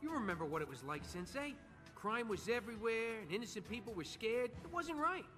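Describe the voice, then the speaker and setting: A man's voice: sits high, very animated, brisk, over music. A man playing a tough, hot-headed young turtle vigilante in a video game, blunt and defensive. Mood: defiant. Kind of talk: video game cutscene voice acting.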